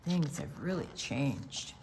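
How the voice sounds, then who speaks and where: Woman doing kids storytime, reads slow and expressive, lowish voice, chats a bit about the pictures. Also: slight background noise, low-quality recording. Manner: deliberate, expressive storytelling for young children. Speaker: children's storytime reader at a library. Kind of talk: reading a picture book aloud, with casual asides on the pictures.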